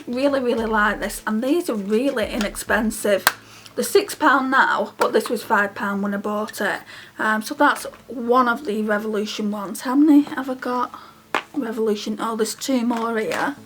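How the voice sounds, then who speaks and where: A woman's voice: fairly high pitch, a bit bright. A British woman talking casually to her viewers as a beauty vlogger, in a rambling, chatty way. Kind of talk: casual chatty makeup vlog.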